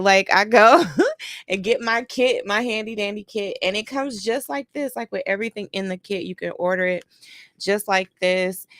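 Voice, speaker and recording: Chatty woman talking to viewers, low voice. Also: no room sound. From Detroit, grew up in Germany. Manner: chatty, heavy with fillers like "you know" and "right". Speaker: reaction vlogger. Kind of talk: casual commentary to viewers. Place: home, doing a facial on camera.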